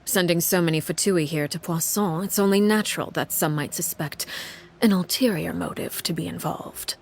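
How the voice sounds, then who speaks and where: A woman playing a composed, commanding leader in a video game, speaking formally with measured, quiet authority. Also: on the low side, vocal fry, a little hiss or hum. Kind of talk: dramatic video game dialogue. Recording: faint music behind the voice.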